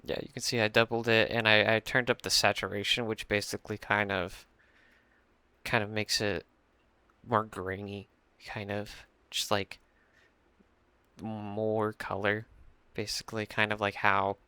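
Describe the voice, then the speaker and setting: Casual young man explaining his mix, low and a bit gravelly, dry close sound, lots of ums. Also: little pitch movement, measured pace, bright, forceful tone, dry recording. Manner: rambling, many fillers and restarts. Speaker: music producer explaining a mix. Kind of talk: casual screen-share tutorial. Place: small room at a computer.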